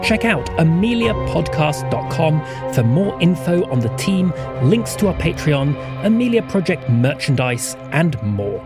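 A man delivers scripted credits over loud music. His fairly high voice moves with lively intonation as he announces. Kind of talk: scripted narration.

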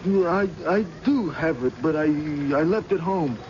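A weary, evasive radio-drama suspect with halting, ungrammatical speech. He drags his words very slowly in a high male voice, on a dull old broadcast recording. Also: animated, darkish tone, a little hiss or hum. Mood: defensive.

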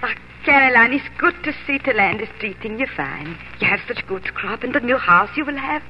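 An actress playing a lonely, kindly immigrant farm neighbor, halting and emotional, in 1940s radio drama style. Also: female voice, fairly high pitch, lively intonation, lightly acted. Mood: wistful. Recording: muffled, noisy 1940s radio recording.

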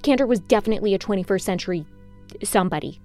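A woman's voice: medium pitch, racing, darkish tone, soft background music, close to an everyday voice. A woman playing an opinionated pundit in a mock-documentary audio drama, talking in emphatic, clipped declarations.

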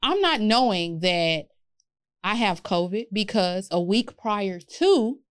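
Young woman, stuffy, rambling story on a podcast, smooth clean voice, dry room. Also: mid-range voice, animated, slow pace, no echo. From Houston, Texas. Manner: candid storytelling, lots of "like" and "literally". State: stuffy, congested. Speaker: podcast co-host. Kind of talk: casual chat.

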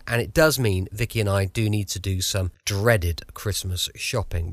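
A man's voice: mid-range voice, a bit bright, no echo, studio quality. A man with a British accent hosting a late-night podcast in a chatty, informal way. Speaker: podcast host. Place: dry, close-sounding room at home.

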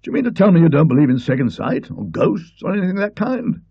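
A man voicing a bluff, hearty older colonel who talks in a booming way. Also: sing-song, quick pace, husky, dry recording, exaggerated voice. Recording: dull lo-fi recording.

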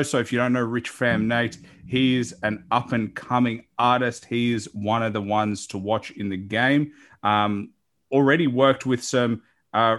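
A man hosting a podcast, chatting casually with a guest in a rambling, encouraging way. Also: mid-range voice. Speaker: podcast host. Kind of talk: casual interview chat.

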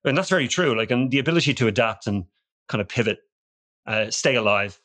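A man's voice: mid-range voice, brisk. A middle-aged man with an Irish accent, a guest on a podcast interview, talking in a loose conversational way with frequent restarts. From Ireland. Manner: relaxed, conversational, many restarts and fillers. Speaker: guest, company founder.

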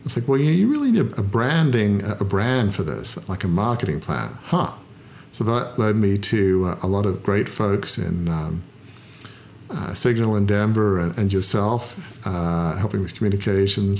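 Middle-aged male interview guest, low-set voice, reflective and modest, stops to search for words. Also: a little mellow, slight background noise. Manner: thoughtful, self-deprecating, frequent "uh" pauses.

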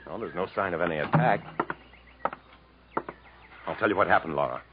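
An actor playing a bitter, sardonic, fatalistic jungle wanderer in 1950s American radio drama style, dramatic and mocking. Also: male voice, sits low, moderate pace, lightly acted. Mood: bitter defeat. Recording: muffled, noisy 1950s radio recording.

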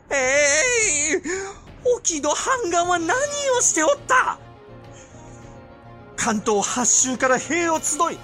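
Casting: haughty, bloodthirsty warlord, very high voice, slow drawn-out vehemence, period audio drama. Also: male voice, bright, forceful tone, full character voice. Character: imperious, bloodthirsty male regent lord, shrill and ruthless. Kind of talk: Japanese historical audio drama.

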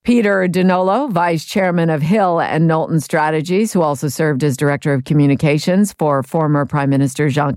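Woman radio host, dry close sound, low voice. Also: darkish tone, dry recording. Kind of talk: scripted radio presenting.

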